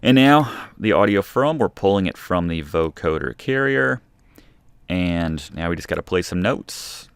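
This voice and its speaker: A man's voice: low voice, animated, unhurried, vocal fry, clear, resonant tone, no echo. A man narrating a music production tutorial in a casual, informal, explanatory way. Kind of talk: casual instructional screen-recording narration.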